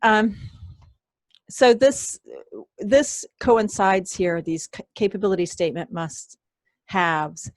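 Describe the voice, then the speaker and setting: A woman presenting an online workshop, hoarse and losing her voice, often clearing her throat and apologizing. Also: mid-range voice, lively intonation, slow pace, no room sound. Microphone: computer mic on a video call.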